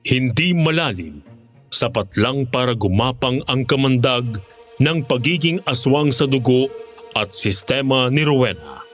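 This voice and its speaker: A man playing a former monster hunter, narrating his grim experience in Tagalog in a serious, measured way. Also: mid-range voice, lively intonation, soft background music. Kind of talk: dramatic horror story narration in Tagalog.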